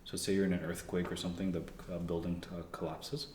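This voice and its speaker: Young male guest, deep monotone, loose chatty answers full of restarts; roomy echo with faint background hum. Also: quick pace. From Canada. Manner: relaxed, rambling with frequent fillers and restarts. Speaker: guest, engineer. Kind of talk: casual interview conversation. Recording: echoey room.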